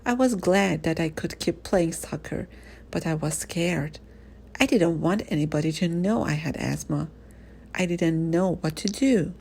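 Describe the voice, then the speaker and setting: A woman reading a first-person children's story aloud in an expressive, animated storytelling manner. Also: lowish voice, a little slow, creaky, husky.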